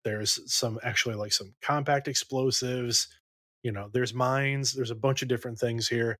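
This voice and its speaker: A man's voice: mid-range voice, fairly even intonation, normal speed, pushed and bright, no room sound. A man playing a tabletop game, describing what his character does as he thinks aloud. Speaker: player. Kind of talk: casual in-character table talk.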